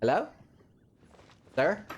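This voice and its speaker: A man's voice: drawn-out words, muffled recording, slight background noise. A man giving casual, rambling, jokey let's play commentary as a gaming host. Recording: music under the voice. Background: game music.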